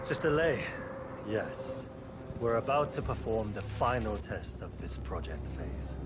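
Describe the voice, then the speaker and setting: A man's voice: lowish voice, very animated, measured pace, very noisy. A man voicing an obsessive, impatient scientist who gives commands in a dramatic video game. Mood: stern, satisfied. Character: obsessive, driven male scientist running brutal mind-control experiments. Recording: loud music over the voice.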